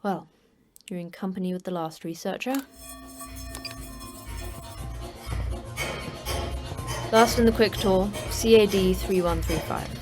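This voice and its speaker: An actress playing a terse, unflappable manager of a secret facility in an audio drama, with a brisk, dry delivery. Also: female voice, mid-range voice, music in the background, lightly acted. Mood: brisk, matter-of-fact.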